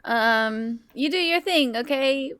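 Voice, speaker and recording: Woman playing high-voiced, bewildered slacker dude. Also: slow, fairly bright tone, a little hiss or hum. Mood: awkward, puzzled. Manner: hesitant, rambling, casual. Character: awkward, bewildered, laid-back dude in a horror comedy.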